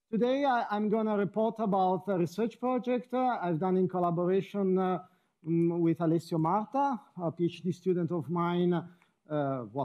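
A man's voice: fairly high pitch, muffled recording. A middle-aged man from Switzerland, giving an academic seminar talk in a lecture hall, wry and self-deprecating, often rephrasing mid-sentence.

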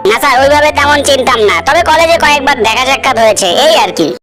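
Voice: sits high, lively intonation, fast, music in the background. An actor voicing an evasive young male murder suspect in a cartoon, deferential in manner. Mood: defensive. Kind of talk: cartoon voice acting.

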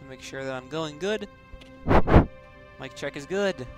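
A man's voice: a little high, a little slow. Chatty man narrating his game play. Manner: chatty, self-deprecating, thinking aloud. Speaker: let's play gamer. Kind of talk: casual game commentary. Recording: loud game music behind. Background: loud game music.

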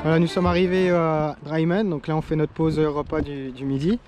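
A man's voice: on the high side, flat delivery, brisk, over loud music. A man in his thirties from France narrating a hiking trip outdoors, casual and hesitant with fillers. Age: 30s. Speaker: vlogger and trek guide. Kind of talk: travel vlog narration.

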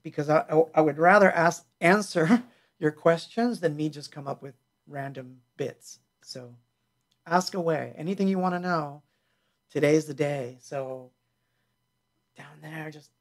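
A man's voice: on the high side, a little slow. A middle-aged man hosting a livestream from his small home music studio, chatting loosely and joking while he answers viewer questions. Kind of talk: casual livestream chat. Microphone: headset mic.

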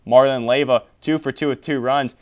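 A man's voice: mid-range voice, steady tone, moderate pace. A young man hosting a college sports show from a small TV studio, running through scores and stats before handing questions to his analyst. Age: young adult. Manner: reads scores and stats, then hands off questions.

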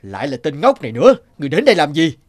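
A man's voice: a little high, wildly swooping pitch, racing, no echo. A man voicing an arrogant, bullying young prince with a mocking manner in a cartoon. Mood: scornful. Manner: mocking, scornful.

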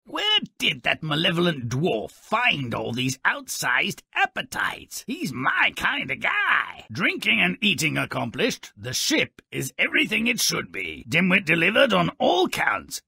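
Gravelly, wildly animated sardonic gremlin storyteller. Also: male voice, a little high, a little slow, hardly any pauses, no echo, studio quality, heavily acted. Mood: gleeful sarcasm. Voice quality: raspy, gravelly. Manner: gleefully macabre, sarcastic, swooping delivery. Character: sardonic, mischievous grotesque dwarfish fairy-tale narrator creature. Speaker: character narrator. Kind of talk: theatrical video game narration.

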